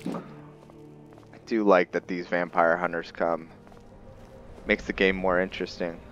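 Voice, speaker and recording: A man giving casual roleplay gameplay commentary, thinking aloud in short, halting phrases as he plays. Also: sits low, little pitch movement, fairly bright tone, muffled recording. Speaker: gaming video host, player. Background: game music.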